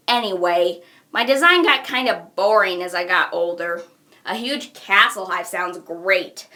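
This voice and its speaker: A woman's voice: mid-range voice, bright, forceful tone. A woman voicing a brash, self-absorbed young troll villainess with theatrical delivery. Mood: bored, wistful. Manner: theatrical, exasperated. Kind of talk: fan character voice acting reel.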